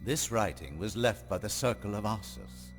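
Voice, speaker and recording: A male actor plays a grave older lord of knights in a game cutscene. The voice is low and rises and falls widely, with learned authority, over loud music. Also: animated, normal speed, natural voice. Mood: grave, intrigued. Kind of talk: historical strategy game cutscene acting.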